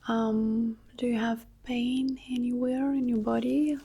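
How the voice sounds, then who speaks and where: Very slow, soft-spoken ASMR woman. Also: fairly high pitch, little pitch movement. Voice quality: soft, breathy, often dropping into whisper. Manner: gentle, reassuring, unhurried, softly checking with okay. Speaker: ASMR performer playing medical specialists in roleplay. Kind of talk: soft-spoken ASMR medical roleplay, close-up personal attention. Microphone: close-up microphone. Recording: close-up mic with faint background noise.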